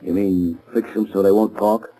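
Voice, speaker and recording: A man's voice: fairly low pitch, steady tone, moderate pace, mellow, close to an everyday voice, rough recording. A man acting a nervous, uneducated gangster underling in a 1930s radio drama, talking in uneasy slang. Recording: old 1930s radio broadcast.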